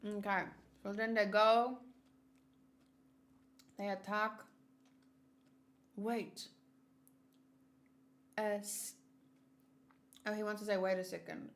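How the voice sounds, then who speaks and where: A woman giving casual reaction commentary as she reads a story aloud, blunt and quick to swear. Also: mid-range voice, flat delivery. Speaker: manga read-along host.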